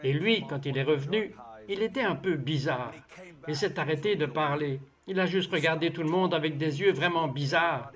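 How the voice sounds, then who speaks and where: Man, high voice, voice-over narration, earnest, measured, dull lo-fi sound. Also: fairly bright tone. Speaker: voice-over translator. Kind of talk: dubbed reading of a personal testimony.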